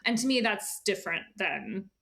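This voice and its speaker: A woman's voice: medium pitch, lively intonation, moderate pace, creaky, a bit bright. A woman speaking as an editor and guest in a casual interview, informal, often dropping into asides and imagined quotes.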